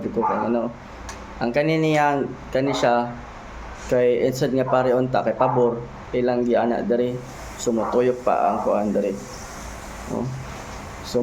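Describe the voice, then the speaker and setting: A Cebuano-accented Filipino man talks casually to his vlog viewers. His voice is creaky and gravelly, and he thinks aloud in short phrases, checking in with little questions. The recording has clear background noise. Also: medium pitch. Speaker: motovlogger, host. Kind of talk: casual unboxing and product feedback vlog chat.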